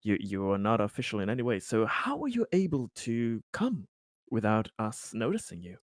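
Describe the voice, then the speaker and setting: A man playing a stern colony leader in a tabletop game, questioning a prisoner in a formal, halting, threatening manner. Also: fairly low pitch, wildly swooping pitch, dry recording. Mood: stern, accusing.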